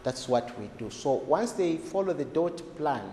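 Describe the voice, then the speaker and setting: A man speaking as a health expert, explaining in a deliberate, emphatic way that repeats key words. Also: fairly high pitch, lively intonation, mellow. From Zambia. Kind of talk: explanatory interview talk. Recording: echoey, reverberant room with background noise.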